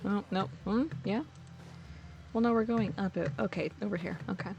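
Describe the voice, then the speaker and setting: A woman's voice: mid-range voice, normal speed, vocal fry, a little mellow, lo-fi sound. Snarky woman streamer, faint noise behind. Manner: sweary, snarky. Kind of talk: casual let's play commentary.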